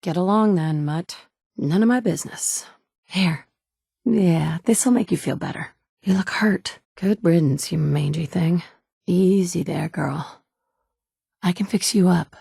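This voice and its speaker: Young mother hunting for her stolen son. Blunt, dry sarcasm, slightly low voice, dry booth recording. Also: unhurried, vocal fry, warm tone, slightly rough, dry recording, full character voice. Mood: sardonic. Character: determined young mother searching wasteland for kidnapped son. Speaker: player character voice actress. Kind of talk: video game voice lines. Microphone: studio mic.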